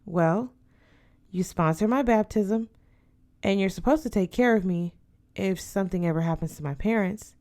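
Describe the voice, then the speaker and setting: Woman narrator as teenage girl, earnest, polite, questioning, storybook dialogue, medium pitch. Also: normal speed, darkish tone, natural voice. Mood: earnest, hesitant.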